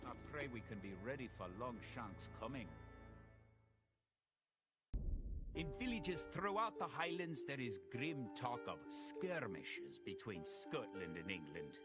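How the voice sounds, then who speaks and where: An actor playing a grave, resolute medieval Scottish soldier, narrating a war in a dramatic, theatrical storytelling style for a game cutscene. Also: male voice, mid-range voice, very animated, unhurried. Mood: grim. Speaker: campaign narrator. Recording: music under the voice.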